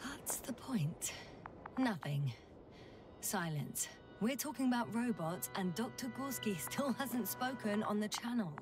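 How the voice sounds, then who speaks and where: A woman's voice: fairly high pitch, animated, a little slow. Woman space explorer, thoughtful, dryly wry, naturalistic game acting, prominent music. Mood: uneasy, hushed.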